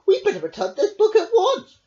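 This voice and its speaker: A man's voice: extremely high pitch, wildly swooping pitch, brisk, warm tone, voice pushed up, heavily acted. A man voicing a hopeful, timid male hedgehog in a storybook, in a dull lo-fi recording. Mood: fretful. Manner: hopeful, fretful.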